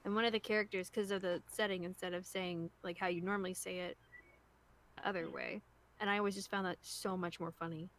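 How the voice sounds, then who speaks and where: A woman's voice: on the low side, steady tone, a little fast, fairly bright tone. A woman co-hosting a group stream, talking casually about the show she helps write.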